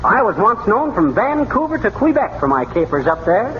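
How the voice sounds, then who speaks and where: A man's voice: high-pitched, big pitch swings, normal speed, soft background music. A man playing a boastful, bungling, folksy husband in a 1930s radio comedy, talking in blustery slang. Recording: noisy, dull 1930s radio broadcast.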